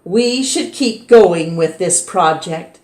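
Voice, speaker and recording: A woman's voice: fairly low pitch, lively intonation, takes it slowly, a little mellow. A woman reading as a cheerful rhyming teacher. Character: cheerful rhyming female schoolteacher. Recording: roomy echo.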